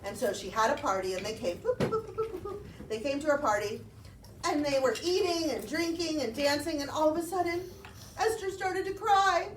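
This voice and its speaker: A woman tells a puppet-show story to young children in a high, animated voice. Her phrasing is simple and interactive, and the sound is echoey. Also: moderate pace. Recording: roomy, distant mic, faint noise.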